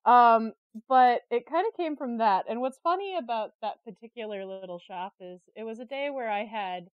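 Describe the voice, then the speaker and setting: Chatty, higher-pitched woman on lo-fi audio. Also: normal speed, no room sound. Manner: giggly, chatty, animated storyteller. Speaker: interview guest, creative director and artist. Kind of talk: casual conversational storytelling.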